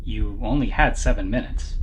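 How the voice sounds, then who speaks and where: An actor playing a kind, lonely, formal gatekeeper, hesitant and courteous, in an audio drama. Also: male voice, fairly low pitch, moderate pace, a little hiss or hum, lightly acted, low-quality recording. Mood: formal, gentle. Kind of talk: dramatic audio play acting.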